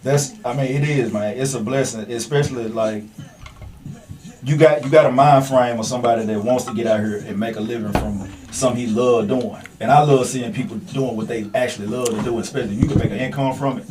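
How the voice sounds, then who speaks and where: Male podcast host, rapid delivery, off-mic sound, slangy and affirming casual interviewer. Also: medium pitch, steady tone, a little mellow. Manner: affirming, slangy, peppered with "man". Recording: voice distant from the mic.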